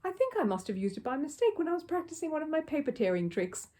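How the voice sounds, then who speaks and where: A woman's voice: high-pitched, very animated, fast, dark tone, voice pushed up. A woman voicing a polite, innocent young male bear in a children's storybook, earnest and matter-of-fact. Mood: earnest, puzzled.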